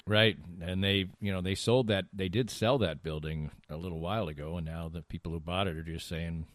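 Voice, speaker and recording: A man's voice: low-pitched, fairly quick, no echo. A middle-aged man bantering casually as co-host of a talk podcast.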